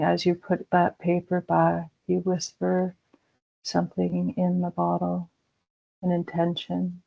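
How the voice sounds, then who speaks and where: A woman leading a guided meditation in a slow, soothing way with gentle pauses. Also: lowish voice, vocal fry. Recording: dull lo-fi recording.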